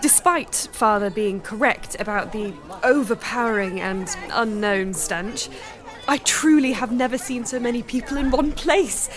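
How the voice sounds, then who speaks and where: Sheltered young lady, played by a woman, high voice, formal and wistful, period radio drama diary narrator with quiet determination. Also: a little slow, crowd noise, natural voice. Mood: wondering. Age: young adult.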